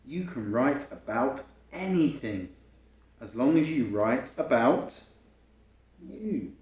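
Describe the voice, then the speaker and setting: An encouraging storybook schoolteacher, played by a man reading aloud. He gives instructions with widely varied, expressive pitch in an echoey room. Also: takes it slowly. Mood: instructive. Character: encouraging male schoolteacher, Mister Lopez. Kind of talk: expressive picture-book reading. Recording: roomy, echoing home recording.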